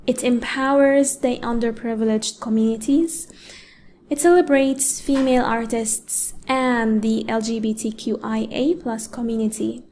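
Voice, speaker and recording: A woman from Egypt, a pianist and educator, giving a prepared speech in a measured way with deliberate pauses. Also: a little high, a little mellow, a little hiss or hum. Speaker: award-winning pianist and educator giving a talk.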